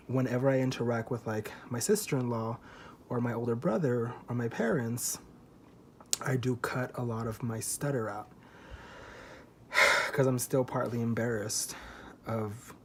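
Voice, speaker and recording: A man in his twenties speaks to camera in a loose, confessional ramble, open and a little self-conscious, with plenty of swearing. His voice sits at a middle pitch and he sounds a little tipsy. A faint hiss of noise runs under the recording. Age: 20s. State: feels tipsy. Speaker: vlogger. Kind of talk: candid confessional vlog.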